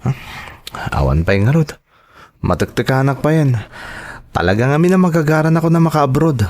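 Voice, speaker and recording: A man's voice: a little high, expressive, normal speed, a little mellow, natural voice. A man with an Ilocano accent playing an earnest, respectful adult son in an audio drama. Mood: hopeful. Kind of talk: Ilocano radio-style drama acting.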